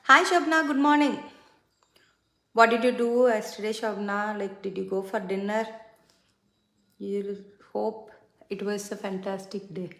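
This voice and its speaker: A middle-aged woman whose first language is Tamil, chatting casually with many fillers as she does her makeup on a livestream. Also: fairly high pitch. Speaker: makeup consultant and livestream host. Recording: echoey room.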